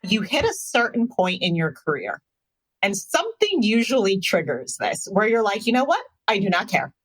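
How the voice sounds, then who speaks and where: A woman with a husky, rough-edged voice at a medium pitch co-hosts a casual interview. She is outspoken and emphatic in how she makes her points. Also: big pitch swings, moderate pace, low-quality recording. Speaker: podcast co-host.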